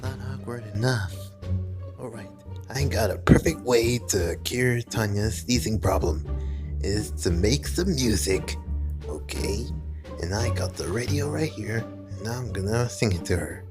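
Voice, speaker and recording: A man voicing the friends in a fan-made skit with a goofy delivery. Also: lively intonation. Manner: jumpy pitch, slow, goofy delivery. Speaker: skit maker and narrator. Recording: music playing loudly behind.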